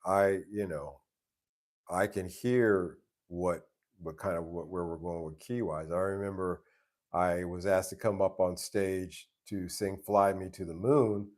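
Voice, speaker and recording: A man with a deep, very low voice hosts a relaxed live stream, chatting with viewers. He talks in an easygoing, meandering way, often restarting his sentences. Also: unhurried. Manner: easygoing, rambling, many restarts. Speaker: live stream host answering chat. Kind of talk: casual live stream chat. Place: live stream from home base abroad.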